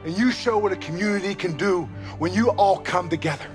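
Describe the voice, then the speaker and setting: A man's voice: high-pitched, brisk, music in the background, lightly acted. A man playing a fatherly veteran football coach in a game cutscene, giving a plain-spoken, emphatic, resolute pep talk. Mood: rousing.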